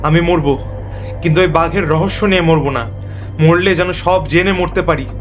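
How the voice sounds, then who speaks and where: A man's voice: a little high, normal speed, faint music behind, lightly acted. A man voicing a fearless, stubborn young village man in a dramatic cartoon, speaking in resolute declarations. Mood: defiant. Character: determined, fearless young village man hunting a ghostly tiger.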